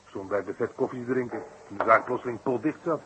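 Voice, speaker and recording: A man's voice: mid-range voice, quick pace, close to an everyday voice. A man playing a chatty, down-to-earth circus electrician in a Dutch radio drama, with colloquial, wordy speech. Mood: grumbling. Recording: faintly noisy 1960s radio recording.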